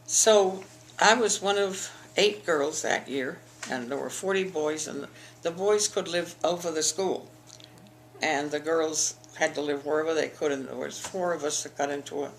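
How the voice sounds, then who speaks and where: A woman's voice: low-pitched, normal speed, a little hiss or hum, low-quality recording. An older woman from the eastern United States, telling her school memories in an oral history interview, rambling with frequent restarts. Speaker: interviewee, alumna.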